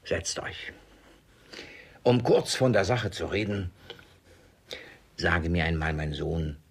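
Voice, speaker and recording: Tight-fisted, distrustful old patriarch for a comic radio play; heavily rasping, grumbly, quick to bluster. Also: male voice, low-pitched, lively intonation, exaggerated voice. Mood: brusque, controlled. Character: miserly, suspicious, irascible old father hoarding gold. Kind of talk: classic comedy radio play acting.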